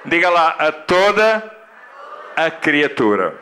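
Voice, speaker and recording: Slow, bright-voiced preacher with a European Portuguese accent. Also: male voice, fairly high pitch, sing-song. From Angola. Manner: slow, deliberate, repeats key phrases, rising to emphatic peaks. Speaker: guest pastor. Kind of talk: sermon. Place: church hall.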